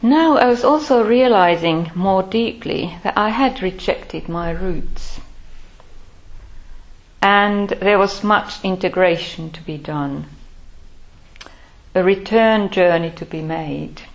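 A woman's voice: mid-range voice, poor audio. Reflective older woman. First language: Finnish. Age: 60s. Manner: measured, thoughtful, pausing often. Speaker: Buddhist order member. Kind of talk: reflective personal life-story talk.

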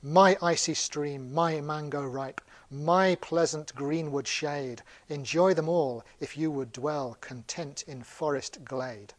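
A man's voice: a little high, slow, hardly any pauses, no room sound. A man telling a story aloud, voicing a lazy monkey who recites verse languidly. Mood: languid, content. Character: lazy monkey on a branch, offering mango. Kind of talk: oral storytelling character voice.

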